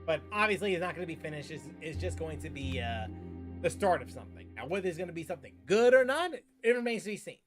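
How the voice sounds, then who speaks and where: A man giving casual live stream commentary as a game streamer, halting and repeating his phrases. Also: on the high side, very animated, over music.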